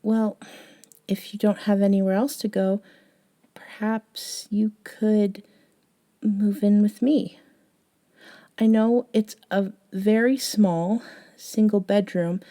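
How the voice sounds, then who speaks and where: A woman playing a gentle, eccentric young woman, a caring friend, in an intimate ASMR audio roleplay, soft-spoken with hesitant pauses. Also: medium pitch, steady tone. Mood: tentative, caring.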